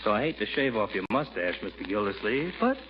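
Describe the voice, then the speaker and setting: An actor playing a folksy, easygoing small-town barber in a 1940s radio comedy. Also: male voice, lowish voice, very animated, a little fast. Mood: storytelling. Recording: muffled old radio recording, faint noise.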